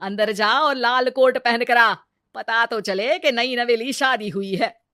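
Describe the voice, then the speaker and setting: Woman acting a nagging, sarcastic mother-in-law, high-pitched. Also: a little slow, bright, forceful tone, no room sound, clean studio sound. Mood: sarcastic grumbling. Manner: taunting, melodramatic complaining. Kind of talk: exaggerated comedy story acting.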